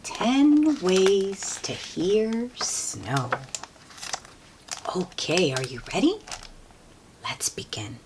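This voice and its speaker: A woman reading a picture book aloud to children, expressive and sometimes hushed, with simple asides to her listeners. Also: mid-range voice, background noise. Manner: slow, expressive, hushed at times, explains words simply.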